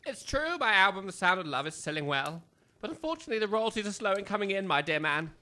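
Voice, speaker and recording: A retired English army major in a comic audio drama. He is pompous and quick to bark orders, with a forceful, cutting voice set a little high. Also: very animated, exaggerated voice. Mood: pompous, polite. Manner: pompous, blustering, barking orders.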